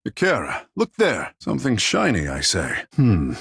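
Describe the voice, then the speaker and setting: Vain, theatrical young demigod with wildly swooping pitch. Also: male voice, huge pitch swings, normal speed, creaky, a bit bright, breathy edge, no room sound, studio quality, heavily acted. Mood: breezy, self-assured. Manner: theatrical, haughty, playful. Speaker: video game companion character. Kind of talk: scripted game voice lines and battle barks.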